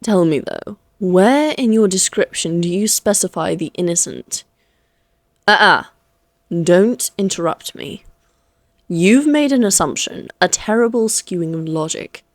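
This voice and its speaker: Woman acting a slow, taunting, elegant vampire lady. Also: on the low side, expressive, dry recording, studio quality. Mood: stern, pointed. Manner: slow, poised, archly formal, teasing. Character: elegant, taunting female vampire, amused and condescending, Victorian fantasy. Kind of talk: scripted roleplay audio drama monologue.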